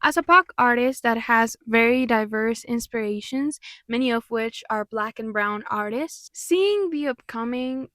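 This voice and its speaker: Young Mexican-accented woman, higher voice, dry close sound, candid reflective commentary. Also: unhurried, hardly any pauses, fairly bright tone, no room sound. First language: Spanish. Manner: earnest, candid, sometimes exasperated. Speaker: vlogger and pop artist.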